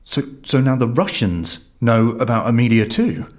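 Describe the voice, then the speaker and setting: A man's voice: mid-range voice, lively intonation, normal speed, darkish tone, natural voice. A man playing a baffled investigator in an audio drama, questioning and flustered. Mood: confused, alarmed. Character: baffled male investigator, out of his depth.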